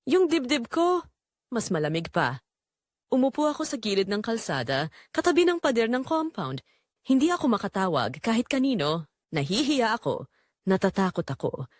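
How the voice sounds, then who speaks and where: Expressive Filipina mother, weary and confiding, played by an actress. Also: on the high side, normal speed, dry recording, studio quality. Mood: anguished. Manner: emotive, confiding storytelling. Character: weary, anguished Filipina mother working abroad as a maid. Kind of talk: dramatic first-person confessional narration for an audio drama.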